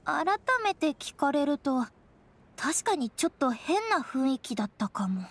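Earnest, high-voiced teenage ranger girl. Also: big pitch swings, measured pace, full character voice. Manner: earnest, slightly hesitant, tomboyish plain speech. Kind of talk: Japanese game character acting. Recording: soft music behind the voice.

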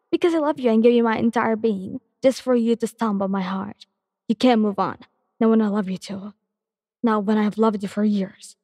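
A desperate young male hero pleads and confesses his love in a storybook reading, as a woman reads his lines. The voice is soft and breathy, with a long reverb tail. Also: on the high side, studio quality. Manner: pleading, emotional.

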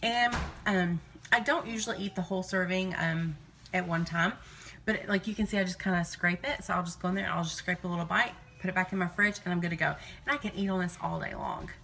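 A woman's voice: fairly low pitch, fairly quick, pushed and bright. A woman in her thirties chatting casually and digressively to live viewers as she records in her home kitchen. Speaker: live-streaming vlogger and meal-prep host.

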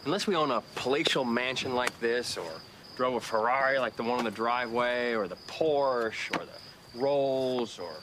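An actor playing a fed-up, cynical schemer in a film comedy, talking persuasively and sardonically. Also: male voice, medium pitch, a little fast, hardly any pauses, noisy background, natural voice. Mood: scheming.

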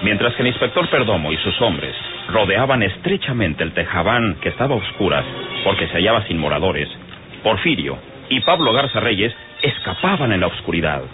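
A man's voice: on the low side, sing-song, moderate pace. A man with a Mexican accent narrating an old radio serial in a dramatic scripted style. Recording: tinny old radio recording, loud music.

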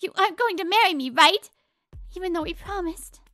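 Very high-pitched, cutesy idol girl. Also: animated, brisk, bright, forceful tone, no echo, clean studio sound, exaggerated voice. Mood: hurt, accusing. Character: sweet, devoted, pouty teenage girl pop idol. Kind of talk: manga dub dialogue.

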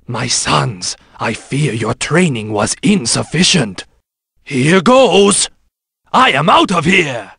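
Aged rat ninja mentor for a video game: gravelly, formal and sage, pitched fairly high with very lively swings. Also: male voice, takes it slowly, no echo, studio quality, exaggerated voice. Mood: solemn, instructive. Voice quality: raspy, gravelly. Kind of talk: video game battle lines and advice.

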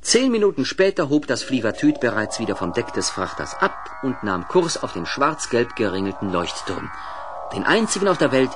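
A man's voice: medium pitch, moderate pace, music in the background. Male narrator for a children's audio drama, mid-range voice, vivid and varied scripted storytelling. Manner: expressive storytelling.

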